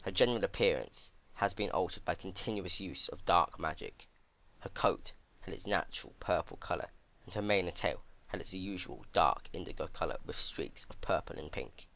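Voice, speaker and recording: A man giving a dramatic scripted narration of a dark fantasy story. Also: sits low, lively intonation, moderate pace, gravelly.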